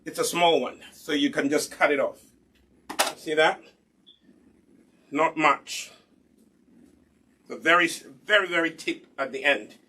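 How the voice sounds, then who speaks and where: Bright, husky man teaching hair care. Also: fairly high pitch. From Uganda. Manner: patient and instructive, asks rhetorical questions then answers them. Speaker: hair care instructor and product maker. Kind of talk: live online hair care demonstration.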